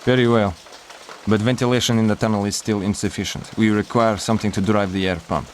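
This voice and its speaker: An actor playing a cautious, dutiful major in a video game, speaking in a formal, measured, reserved way. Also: male voice, fairly low pitch, fairly even intonation, a little hiss or hum, close to an everyday voice. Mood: wary. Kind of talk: video game cutscene acting.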